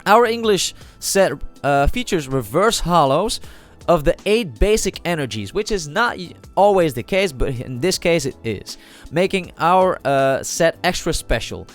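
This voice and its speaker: A man's voice: a little high, animated, a little slow, faint music behind. A man with a cold and a slightly nasal voice, talking casually over a card video and thinking aloud with many fillers and restarts. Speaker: trading card channel host.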